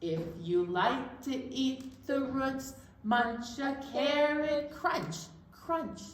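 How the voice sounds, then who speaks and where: A woman presenting a children's storytime, explaining things simply and in an animated way, with sing-along songs. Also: high-pitched, very slow. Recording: roomy, distant mic, some background noise.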